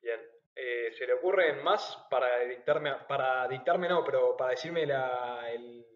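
A soft-spoken man listening as a student and answering in short agreeing words. His voice sounds muffled and roomy, as if it is coming through a dull call line. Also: mid-range voice, measured pace. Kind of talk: tutoring lesson over a call.

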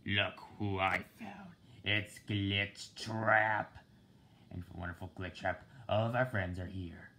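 Guy doing a hearty pirate fox robot show host, super slow, sharp bright voice. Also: deep voice, steady tone, exaggerated voice. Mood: theatrical, gleeful. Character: hearty pirate fox animatronic entertainer, male, showman. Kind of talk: fan voice-line reading, character acting. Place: indoors, at a laptop. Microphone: handheld phone or camera filming a screen.

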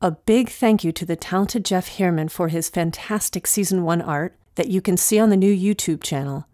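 Lowish woman's voice, podcast host doing scripted shout outs, very dry recording. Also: normal speed, no room sound. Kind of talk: scripted thank-you announcements.

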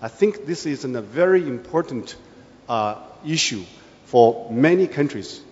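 Halting Chinese-accented man in a hall. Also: mid-range voice, expressive, a little mellow. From China. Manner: halting, many fillers and restarts. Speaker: government delegate. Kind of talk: formal plenary statement. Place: large conference hall. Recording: echoey hall, dull sound, faint room noise.

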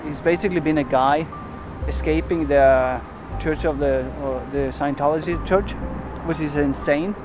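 A man in his forties talks into a camera held at arm's length, chatting outdoors and from a van in a loose, rambling way full of fillers and restarts. His voice sits a bit high for a man, and music plays clearly behind him. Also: moderate pace, few pauses. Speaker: travel vlogger. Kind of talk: casual vlog narration to camera. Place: outdoors in a parking lot and inside a van. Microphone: handheld camera at arm's length.

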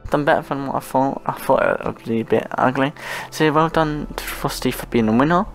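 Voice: mid-range voice, brisk, faint music behind. A gaming host giving casual, rambling commentary while playing, often murmuring short repeated phrases.

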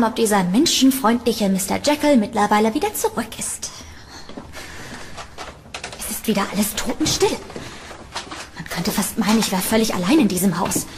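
A woman playing a curious, uneasy young tenant in a horror audio drama, with expressive delivery. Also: fairly high pitch, slight background noise. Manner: expressive, talking to herself. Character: curious, uneasy young female tenant exploring a creepy house. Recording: music behind the voice.

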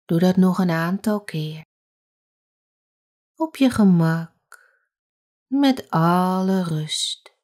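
A woman leading a guided sleep meditation as a yoga teacher, speaking softly and gently with long pauses. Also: on the low side, animated.

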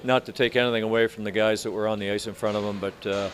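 An older man in his sixties, a hockey coach answering reporters after a game, speaking in a measured, halting way with many restarts. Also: on the low side, level tone. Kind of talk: postgame press interview. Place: arena media room in front of sponsor backdrop.

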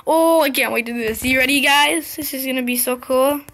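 A young girl commentating her gameplay in a casual, excited, chatty way, her voice slightly scratchy. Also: sits high, a little fast. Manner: excited, chatty, impatient exclamations. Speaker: gameplay video host.

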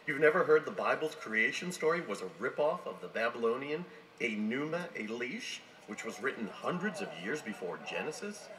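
A man's voice: medium pitch, big pitch swings, moderate pace, bright, forceful tone. An actor playing a skeptical, argumentative man in an audio drama, heard through a TV's speakers. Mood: dismissive. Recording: noisy distant playback from a TV.

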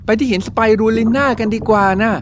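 A woman's voice: fairly high pitch, fast, noisy background, lightly acted. A woman voicing a brave young fish hero in a dubbed cartoon, urgent and bossy. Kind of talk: cartoon voice acting. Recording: music bed under voice.

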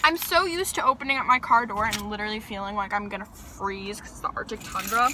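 A young woman rambling to a dashboard camera while sitting and driving in her car, chatty and exasperated, getting loud and then going soft. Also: medium pitch, fast talker. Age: young adult. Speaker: vlogger. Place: inside a parked and moving car, daytime. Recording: car with road noise.

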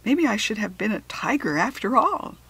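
Woman reading as husky, formal alien hare. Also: mid-range voice, sing-song, moderate pace, sharp tone, full character voice. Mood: friendly. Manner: articulate, formal, self-assured. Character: talking alien hare, polite, chatty, slightly superior visitor. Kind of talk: children's storybook character reading.

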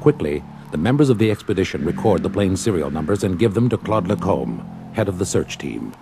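A man's voice: low voice, sing-song, fairly quick, creaky, background noise. A man narrating an audio drama in a dramatic scripted storytelling style. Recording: old story record with backing sound.

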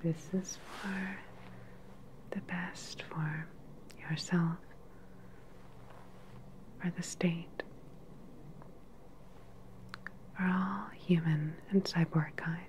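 Whispering, low-pitched woman as an evil scientist. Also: fairly even intonation, a little hiss or hum, low-quality recording. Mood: soothing, clinical. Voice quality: breathy, whispery. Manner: gentle, measured, clinical. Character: calm, clinical evil scientist woman in a sci-fi lab. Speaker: ASMR performer. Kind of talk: soft-spoken whispered ASMR roleplay. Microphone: close microphone. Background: sci-fi lab ambience.

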